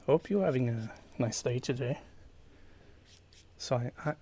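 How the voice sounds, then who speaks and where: A man from Portugal with a Portuguese accent, chatting quietly on a stream, hesitant, with many fillers and slips into whispers. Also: mid-range voice, lively intonation, a little slow. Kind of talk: quiet casual chat with viewers.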